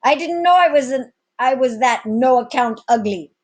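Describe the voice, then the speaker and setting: A woman's voice: sits high, expressive, normal speed, pitched above the performer's own voice. A woman reading aloud as an uncouth male pirate crewman, with folksy, exclamatory sailor talk. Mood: jolly. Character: rough, uncouth male pirate crewmen, old sea dogs.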